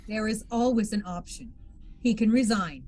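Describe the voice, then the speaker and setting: A woman's voice: a little high, moderate pace, a little mellow, natural voice. A woman playing a dry, guarded military legal officer in a science fiction table read. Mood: cool, dry.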